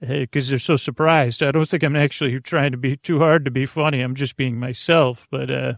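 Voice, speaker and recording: A man with a creaky voice hosting a bedtime podcast, meandering hesitantly, mumbling and trailing off in a goofy way. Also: medium pitch, steady tone, fairly quick, no room sound, clean studio sound. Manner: silly, goofy. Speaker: podcast host. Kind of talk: rambling bedtime podcast monologue.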